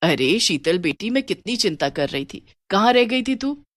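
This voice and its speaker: A caring, worried mother, as a female storyteller plays her. She asks questions with gentle concern in storybook dialogue, on a dry recording. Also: mid-range voice, big pitch swings, moderate pace, no room sound.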